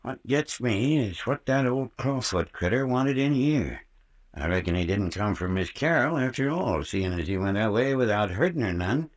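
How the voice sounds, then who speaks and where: An actor playing a stubborn, proud old country doctor with a folksy drawl in an old-time radio horror drama. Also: male voice, medium pitch, animated, moderate pace, lightly acted. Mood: folksy, curious. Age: older.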